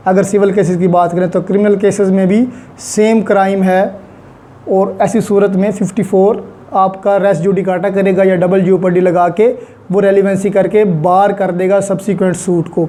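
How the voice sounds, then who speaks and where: A law lecturer with a Pakistani accent explains terms deliberately, repeating them and mixing in English legal vocabulary. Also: mid-range voice, level tone, dark tone.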